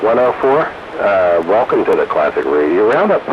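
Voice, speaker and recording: A man's voice: on the low side, big pitch swings, normal speed, lo-fi sound. A man hosting a casual, jokey round-table chat over two-way radio. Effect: two-way radio transmission. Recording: CB radio with clear static. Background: radio static.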